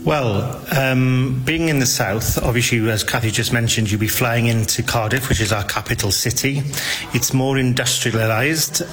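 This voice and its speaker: A man from Wales with a Welsh accent, speaking earnestly as a guest in a radio travel interview, often pausing with "um". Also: mid-range voice, fairly bright tone. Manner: earnest, with frequent ums. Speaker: tourism guest.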